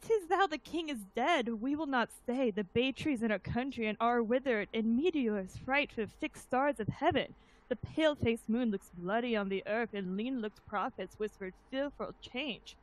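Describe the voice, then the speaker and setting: A woman's voice: fairly high pitch, moderate pace, dry recording, natural voice, poor audio. A woman playing a grim, superstitious army captain, reciting verse in a declamatory way. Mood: grim, resolute.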